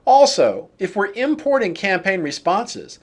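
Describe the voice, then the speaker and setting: Higher-pitched man narrating software training. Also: wildly swooping pitch, measured pace, slightly rough. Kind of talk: scripted instructional narration.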